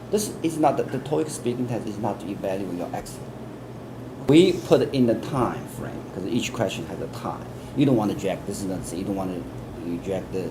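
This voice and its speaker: A man with a Chinese accent giving interview answers as an English test expert, earnest, often repeating words and restarting. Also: on the low side. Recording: air conditioning hum in background.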